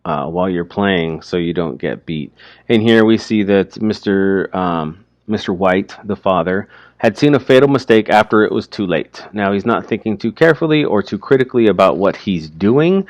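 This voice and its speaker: A man teaching through informal, explanatory commentary on a story, speaking haltingly as he thinks aloud. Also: sits low, no room sound, lo-fi sound.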